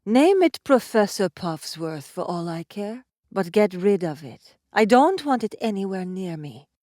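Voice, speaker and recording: A woman playing a cold, no-nonsense warrior in an audiobook drama, speaking flatly, firmly and curtly. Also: mid-range voice, measured pace, no room sound, clean studio sound. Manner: flat, firm, curt. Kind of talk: cinematic audiobook character acting.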